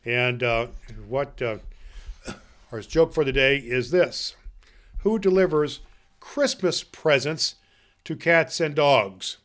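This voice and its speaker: A man playing a jolly, grandfatherly old Santa Claus, hosting a podcast for children in a folksy, halting manner. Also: medium pitch, a bit bright. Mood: folksy, friendly. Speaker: podcast host. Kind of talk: story reading and chat to children.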